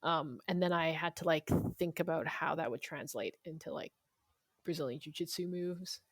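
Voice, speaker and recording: Low-voiced woman in a conversational interview. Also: normal speed, husky, no room sound. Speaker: guest author being interviewed.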